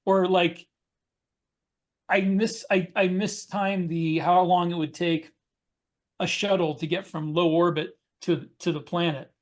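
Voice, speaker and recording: A man co-hosting a writers' podcast over a video call, talking casually and a little haltingly. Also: high-pitched, little pitch movement. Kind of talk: casual panel discussion.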